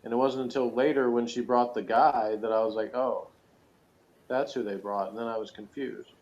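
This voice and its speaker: A man's voice: medium pitch, fairly even intonation, moderate pace, a little mellow. A man chatting casually as a co-reviewer, with a self-deprecating way of talking. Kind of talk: casual chat. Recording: dull lo-fi audio, faint noise.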